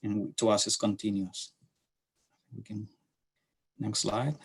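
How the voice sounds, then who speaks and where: A middle-aged man from Chile with a Chilean Spanish accent, giving a slide presentation to a class in a halting way with many fillers and restarts. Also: fairly low pitch, steady tone, gravelly, breathy edge. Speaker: city transportation planner presenting to a class.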